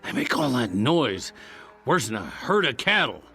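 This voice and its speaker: An actor playing a weary, bewildered cowboy from the Old West in a radio drama, with halting, plain-spoken rustic talk. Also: male voice, mid-range voice, sing-song, bright, forceful tone, breathy edge, faint music behind, full character voice.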